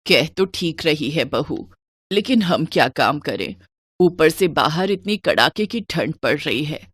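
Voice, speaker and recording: Older village mother-in-law, played by a woman, poor and worried, plaintive, expressive pitch. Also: measured pace, slightly rough, dry recording, heavily acted. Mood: weary, wistful.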